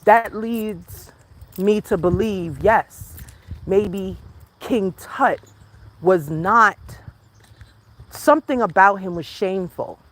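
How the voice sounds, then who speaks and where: A woman's voice: mid-range voice, slow pace, long pauses, fairly bright tone. An American woman hosting a livestream, chatting casually and rambling to her viewers while out on a walk outdoors. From United States. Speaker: livestream host. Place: outdoors on a walk, rural or natural setting.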